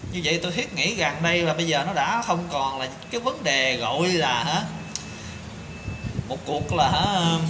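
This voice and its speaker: A man in his thirties speaking an indignant opinion monologue to camera, full of rhetorical questions and fillers, filmed on a phone in a small tiled room. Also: high voice. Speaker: commentator. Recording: echoey tiled room with background noise.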